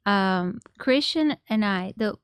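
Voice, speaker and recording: Clear, smooth-voiced female podcast host. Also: medium pitch, lively intonation, slow, no echo. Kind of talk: conversational podcast interview.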